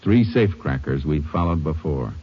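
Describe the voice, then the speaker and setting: An actor playing a calm, shrewd Texas Ranger investigator, American, laconic and matter-of-fact, in 1950s radio drama style. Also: male voice, a bass voice, moderate pace, breathy edge, exaggerated voice. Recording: dull, muffled 1950 radio recording.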